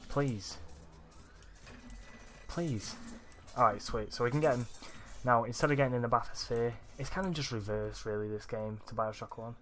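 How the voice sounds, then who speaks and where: A young man with a Manchester accent, rambling excitedly over a video game, his morning voice groggy and deep. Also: moderate pace, slight background noise. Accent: Manchester English. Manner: rambling, excitable, swears often. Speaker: let's play gamer. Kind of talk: casual gameplay commentary.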